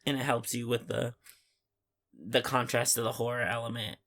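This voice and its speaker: Casual, halting male podcast co-host. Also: mid-range voice, level tone, a bit bright. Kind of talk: casual chat.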